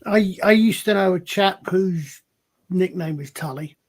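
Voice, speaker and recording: A man's voice: high voice, a little slow. A Scottish man on a casual panel, hesitant and repeating words as he thinks aloud. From Scotland.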